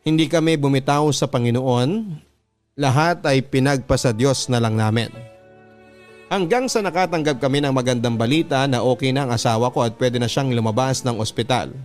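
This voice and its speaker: Man, Filipino accent, mid-pitched voice, earnest letter narration, radio drama host, music bed. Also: normal speed, darkish tone. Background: background music.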